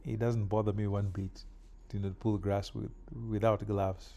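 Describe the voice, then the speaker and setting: A middle-aged man from Kenya chatting casually while doing yard work outdoors, with relaxed pauses and fillers. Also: lowish voice, creaky. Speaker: vlogger.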